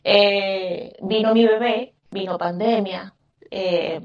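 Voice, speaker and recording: A woman's voice: mid-range voice, fairly even intonation, takes it slowly. Venezuelan lady chatting in an interview, echoey room, bit muffled, talks emphatically. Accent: Venezuelan Spanish. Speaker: podcast guest, craft business owner. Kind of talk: conversational interview answers. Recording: echoey, distant, muffled microphone.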